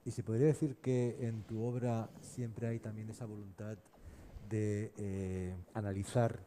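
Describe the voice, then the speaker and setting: A man moderating a panel, putting questions in hesitant, measured phrases. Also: lowish voice, flat delivery, warm tone, slight background noise. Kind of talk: interview questions at a panel discussion.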